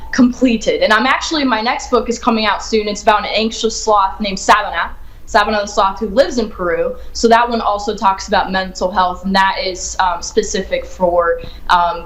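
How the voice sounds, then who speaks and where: A woman's voice: medium pitch, fairly bright tone. Earnest young woman in an echoey room. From United States, grew up in Ohio. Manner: earnest and upbeat, thinks aloud. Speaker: children's book author, guest. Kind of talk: remote interview answers.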